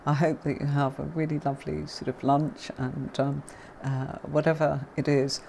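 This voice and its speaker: Deep-pitched British woman, neutral accent, chatty livestream tarot teacher, faint noise in background. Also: little pitch movement, moderate pace, creaky. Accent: neutral southern English, faint Yorkshire. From Yorkshire, England. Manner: chatty, warm greetings to viewers, many fillers. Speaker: tarot reader hosting a livestream.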